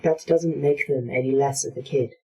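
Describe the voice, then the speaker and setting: A woman's voice: extremely low pitch, normal speed, noisy background. A woman playing a blunt, uneasy artificial intelligence in a sci-fi horror audio drama, challenging and accusatory. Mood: accusing. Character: anxious, blunt artificial intelligence fearing replacement.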